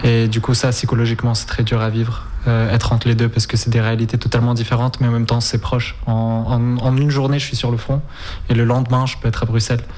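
Young man, flat even delivery. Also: medium pitch, a little hiss or hum. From Spain, raised in Ukraine. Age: 20s. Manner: halting, frequent hesitations. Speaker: guest. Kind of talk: interview answers.

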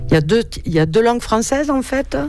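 A woman speaks in a frank, emphatic way, firm in her views. Music plays clearly behind her. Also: on the high side, animated, quick pace. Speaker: interviewee. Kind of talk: outspoken interview talk.

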